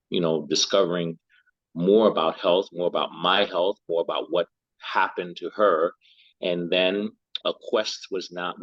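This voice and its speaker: A man's voice: low voice, a bit bright, no room sound, lo-fi sound. A middle-aged man from Liberia, a health and lifestyle coach, answering interview questions thoughtfully and often repeating words as he searches for them.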